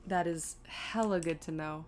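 A woman's voice: on the low side, a little slow, a little hiss or hum. A woman streaming a video game, thinking aloud in casual commentary with self-deprecating, exasperated asides.

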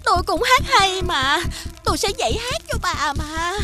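A woman's voice: very high-pitched, lively intonation, moderate pace. A woman voicing a spoiled, scheming young daughter of a rich man in a children's cartoon, whiny and bratty. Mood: nervous. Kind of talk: children's fairy-tale cartoon dubbing. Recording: loud music behind the voice.

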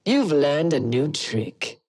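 A woman's voice: deep voice, very animated, darkish tone, exaggerated voice. A woman voicing a menacing imperial hunter in a video game, taunting her prey with cold contempt. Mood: scornful. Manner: slow, contemptuous, coldly threatening. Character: menacing helmeted female villain, cold imperial Jedi hunter.

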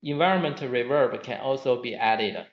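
Guy reading a game chat demo, plain and steady, lots of echo, kinda muffled. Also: medium pitch, normal speed. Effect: added reverb and 3D positioning. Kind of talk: scripted product demo.

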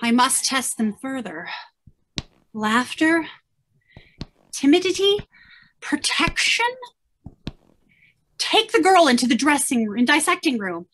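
A middle-aged woman playing a weary, distraught old scientist, the last human, in a halting theatrical radio-drama style. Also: high voice, sing-song, a bit bright, a little hiss or hum, full character voice, rough recording. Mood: agitated probing. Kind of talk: 1930s radio drama acting. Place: indoors at home on a video call.

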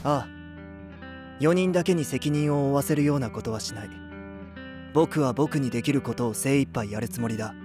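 A dependable young band vocalist in an anime audio drama, performed by a man. He sounds composed and serious at a medium pitch, with light music behind him. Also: moderate pace, long pauses, close to an everyday voice. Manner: composed, matter-of-fact. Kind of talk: Japanese anime voice drama acting.

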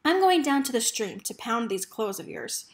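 A woman's voice: a little high, big pitch swings, normal speed. A woman voicing a loving, devout mother from a storybook, with tender, folksy rural speech. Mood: tender, cautioning.

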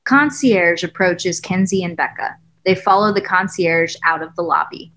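A woman's voice: fairly low pitch, moderate pace, fairly bright tone. A young woman reading screenplay directions as scripted narration over a video call. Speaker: stage direction reader. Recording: noisy room video call.

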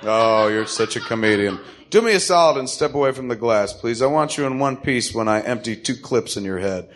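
A man's voice: medium pitch, lively intonation, brisk, a bit bright, close to an everyday voice. A man playing a wry, matter-of-fact hired killer in a comic-book radio drama reading, speaking casually. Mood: wry, menacing. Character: gruff, businesslike male hired killer, grimly wry.

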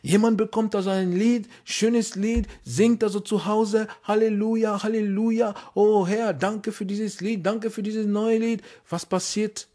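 A man preaching a sermon in a language he learned as an adult, hesitant and repeating words, then rising to emphatic, high-pitched exhortation. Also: level tone, unhurried, few pauses, mellow. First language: not German. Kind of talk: impassioned sermon.

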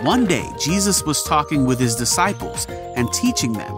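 A man reading the scripted narration of a children's Bible cartoon, telling the story in an even, clear way. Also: fairly high pitch, moderate pace, loud music behind the voice.

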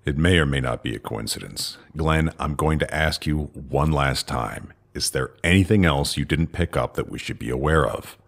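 Male actor as watchful commander, very deep, gravelly, deliberate, stern, sci-fi audio drama. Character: stern, watchful man in charge of a mission.

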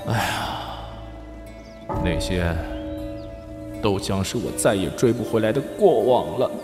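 A man's voice: lowish voice, expressive, slow. A man acting a flustered, stammering young prince regent in a costume radio drama, theatrical and exasperated. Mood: wistful. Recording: echoey reverb with music behind.

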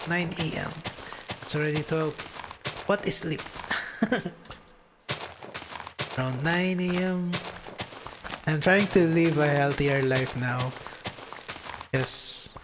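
A man's voice: mid-range voice, background noise. A young man from the Philippines with a Filipino accent, chatting casually and giggling as he answers viewers during a live game stream. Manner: relaxed, chatty, giggly, reads and answers chat. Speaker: VTuber streamer.

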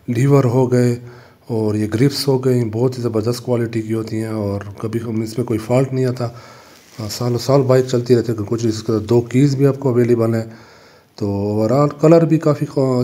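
A man's voice: mid-range voice, mellow. A young man giving a casual walkaround review of a motorbike, talking plainly with some fillers. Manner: plain, matter-of-fact, pauses with fillers. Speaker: motorbike vlogger. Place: indoor motorbike showroom.

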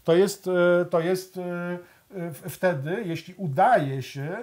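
An older man, an author, speaking in a TV studio discussion, thoughtful and halting, with frequent hesitations and restarts. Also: high voice, darkish tone. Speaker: author and guest.

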